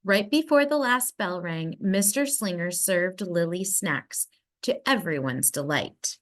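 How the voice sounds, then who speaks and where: A woman narrating a children's storybook aloud in an expressive manner with dramatic emphasis. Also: fairly low pitch, a little slow. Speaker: storybook reader.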